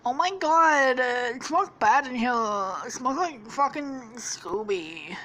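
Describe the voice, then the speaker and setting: Woman doing a high whiny complainy girl, stretches every word. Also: lively intonation, slow, a bit bright, a little hiss or hum, full character voice. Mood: disgusted. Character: whiny prissy young woman, always complaining.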